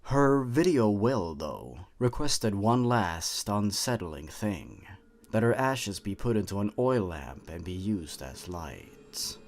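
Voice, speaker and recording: Guy with a lowish voice reading creepy stories, slow and hushed, scripted narration. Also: very animated. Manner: measured, hushed storytelling that drops low and quiet. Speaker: horror story narrator.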